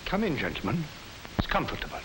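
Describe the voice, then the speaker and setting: An actor in a 1940s educational film playing a calm lecturer, speaking in a measured, didactic way. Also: male voice, mid-range voice. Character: calm man lecturing against racial myths. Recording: hissy 1940s film soundtrack.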